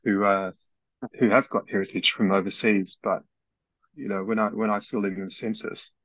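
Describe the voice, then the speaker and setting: Man, low, relaxed and reflective, dry and dull lo-fi recording. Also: normal speed, dry recording. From Australia. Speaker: researcher in discussion. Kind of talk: casual discussion.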